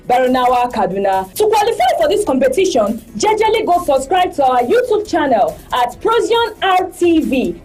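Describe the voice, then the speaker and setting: A woman's voice: high voice, darkish tone. A woman with a Nigerian accent delivering an exclamatory, hype-style promotional read as an advert announcer. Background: music.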